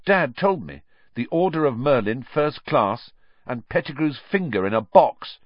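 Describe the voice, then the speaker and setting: A man doing a sharp, hot-headed schoolboy. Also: mid-range voice, sing-song, unhurried, no echo, highs cut off, pitched above the performer's own voice. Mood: furious. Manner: sharp, raised and heated. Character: blunt, tense teenage schoolboy.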